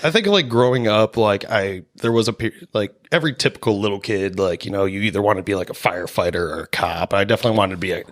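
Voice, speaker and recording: A man's voice: lowish voice, fast, few pauses. A young man, chatting on a podcast. He rambles through stories, swears a lot and laughs often, with loose filler-heavy talk. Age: 20s. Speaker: podcast co-host. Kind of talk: casual chat.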